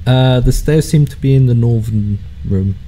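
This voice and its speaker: A man acting as game master, narrating a tabletop horror game in a relaxed, explanatory way with hesitant fillers. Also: medium pitch, normal speed, slight background noise.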